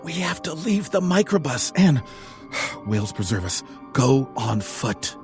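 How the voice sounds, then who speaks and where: A man's voice: mid-range voice, sing-song, takes it slowly. A man playing a theatrical young adventurer in an audio drama, narrating a quest in florid, dramatic style. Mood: urgent. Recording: music behind the voice.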